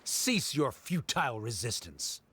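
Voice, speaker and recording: A man's voice: mid-range voice, big pitch swings, measured pace, sharp tone, slight background noise. A man voicing a haughty, stern official in a video game, pompous and condescending in formal speech. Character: haughty, stern male official pronouncing judgment. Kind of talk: scripted video game dialogue.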